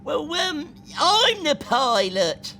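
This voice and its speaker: A man acts out a dotty old grandad from a children's story. The voice is pitched up and lively, bossy and fussy, as if forever chivvying a youngster along. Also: slow pace, faint music behind, full character voice. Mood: matter-of-fact, proud.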